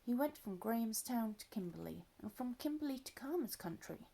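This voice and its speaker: Woman storyteller, animated read-aloud, warm gravelly husky tone. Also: a little high, brisk. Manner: expressive, lively voices, drops to soft asides.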